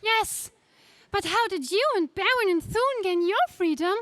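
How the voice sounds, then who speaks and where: A woman's voice: extremely high pitch, expressive, a little slow, fairly bright tone, no echo, heavily acted. A woman playing an anxious, devoted young heroine, melodramatic in the style of a 1930s space radio serial. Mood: worried.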